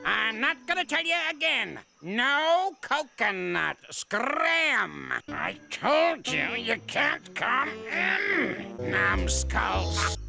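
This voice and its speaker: Grouchy old cartoon ape, gravelly, high and wildly swooping, boastful, insult-slinging. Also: male voice, huge pitch swings, drawn-out words, few pauses, pushed and bright, heavily acted. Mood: cranky. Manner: blustering, hurls goofy insults, mutters to himself. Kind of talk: cartoon voice acting. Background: cartoon music and sound effects.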